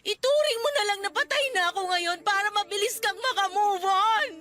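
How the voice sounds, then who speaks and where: A heartbroken young woman sobs and lashes out in a radio drama. Her voice sits very high and sounds bright and piercing. Soft music plays faintly underneath. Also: fairly quick. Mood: sobbing anguish. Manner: tearful, sobbing, pushing her partner away. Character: gravely ill young woman, despairing and bitter.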